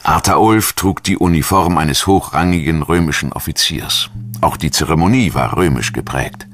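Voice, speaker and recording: A man's voice: extremely low pitch, moderate pace, a bit bright. A man narrating a documentary in a scripted style, as narrator and voice-over.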